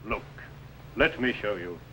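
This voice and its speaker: Bright, forceful medieval archbishop. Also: male voice, lowish voice, lively intonation, a little slow. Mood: resolute. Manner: formal, archaic, declamatory. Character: resolute, solemn medieval English archbishop or courtier. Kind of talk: 1950s television costume drama acting. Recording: faintly noisy old TV soundtrack.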